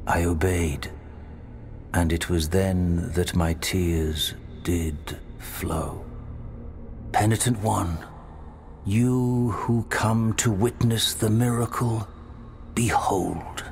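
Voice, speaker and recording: An ancient undead confessor from a dark fantasy game. He speaks extremely slowly in a lowish voice, solemn and full of sorrow. Each phrase is weary and ceremonious, with faint noise behind it. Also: creaky, exaggerated voice. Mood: solemn, mournful. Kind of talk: solemn dramatic video game monologue.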